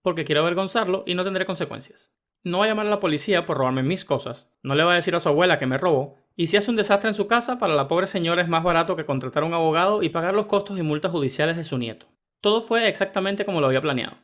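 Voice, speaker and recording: A man narrating first-person internet stories in a brisk, even reading style. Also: on the high side. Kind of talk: scripted story narration.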